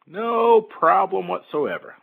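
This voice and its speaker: A man playing a glib, sleazy shuttle pilot in a game-based audio drama, smooth-talking like a salesman. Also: high voice, lively intonation, takes it slowly, phone-quality sound, heavily acted. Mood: falsely reassuring. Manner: smooth-talking, falsely reassuring salesman patter. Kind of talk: fan audio drama, game-story character acting.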